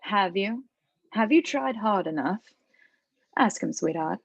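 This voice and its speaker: A woman's voice: mid-range voice, expressive, unhurried, creaky, husky, dry recording, highs cut off, heavily acted. A woman playing an arrogant aristocratic mother in her sixties, speaking with polished menace in a comedy table read. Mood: coolly threatening. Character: arrogant aristocratic sixty-ish mother, steely, conniving, falsely polite.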